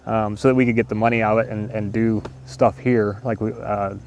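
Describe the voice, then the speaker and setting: A man's voice: fairly low pitch, little pitch movement, brisk, a little mellow, a little hiss or hum. Easygoing older man rambling in a garden. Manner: rambling, easygoing, many fillers and restarts. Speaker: homestead vlogger. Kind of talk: casual talk to camera. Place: outdoor garden.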